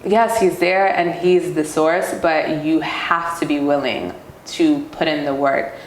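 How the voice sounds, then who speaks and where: A woman with a low voice talks openly and casually, rambling and filling her sentences with "like." She is recorded in an echoey room with faint background noise. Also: steady tone, a little slow. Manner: rambling, heavy use of "like" fillers. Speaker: podcast guest. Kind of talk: casual personal storytelling.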